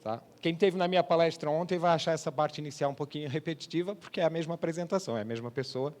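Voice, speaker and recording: A man's voice: on the high side, fast, darkish tone. A man in his forties with a Brazilian accent tinged by Portugal, giving a conversational conference presentation and explaining things with frequent check-in tags. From Brazil (born Rio de Janeiro) and Portugal. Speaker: sales manager giving a conference talk. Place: trade congress presentation space.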